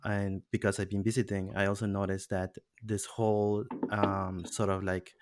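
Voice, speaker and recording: A man's voice: lowish voice, little pitch movement, moderate pace, slight background noise. A man from Peru hosting a casual podcast discussion, thoughtful and hesitant, with frequent restarts. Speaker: podcast host.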